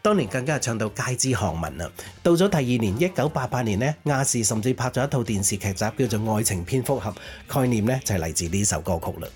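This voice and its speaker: A man's voice: mid-range voice, lively intonation, fast talker, few pauses, darkish tone. A man presenting a music programme with chatty, explanatory commentary in a conversational style. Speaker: music radio or podcast host.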